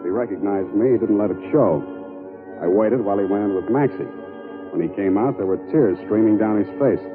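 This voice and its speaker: An actor playing a wry, hardboiled private detective with clipped, dry delivery in a 1940s radio drama. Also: male voice, fairly low pitch, lightly acted. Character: wry, hardboiled male private detective narrating his case. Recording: narrow, muffled vintage radio recording.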